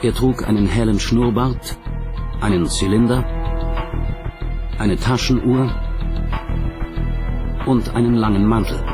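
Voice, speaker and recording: A man's voice: on the low side, steady tone, slow, long pauses. A man reading scripted documentary narration as the narrator. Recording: music bed under narration. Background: background music.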